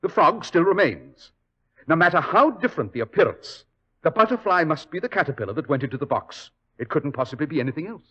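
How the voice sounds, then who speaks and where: Dry-witted master detective, crisp lecturing, lively pitch swings, muffled vintage radio. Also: male voice, fast, full character voice. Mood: wry. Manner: crisp, lecturing, dryly witty. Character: cool, sardonic English master detective. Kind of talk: 1940s radio acting. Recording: dull lo-fi 1940s radio recording.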